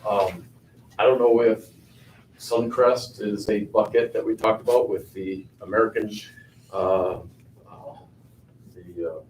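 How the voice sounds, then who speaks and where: An older man giving a halting public comment at a county board meeting, with many fillers and restarts. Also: on the low side, fairly even intonation, darkish tone. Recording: echoey hall, far from the mic, faint noise.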